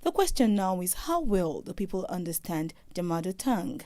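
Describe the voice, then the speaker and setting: A woman narrating a scripted news report in a measured, formal way. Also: on the low side, sing-song, over loud music. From Nigeria.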